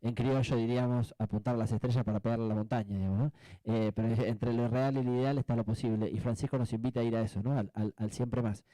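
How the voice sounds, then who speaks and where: Argentine man, very mellow dark voice. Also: mid-range voice, steady tone, no echo, poor audio. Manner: reflective, halting, often seeking agreement with tag questions. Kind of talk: spontaneous panel discussion answer.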